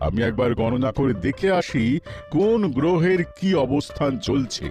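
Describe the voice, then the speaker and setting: A scheming royal astrologer from a cartoon, fussy and boastful but cowardly. His voice runs high and swings widely, with stammers, over loud music. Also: very animated, normal speed. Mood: sly.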